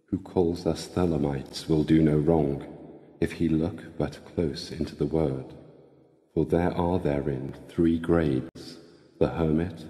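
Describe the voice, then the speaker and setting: A man solemnly reciting a sacred text in a grave, measured, incantatory manner, with long reverb. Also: very deep voice, mellow.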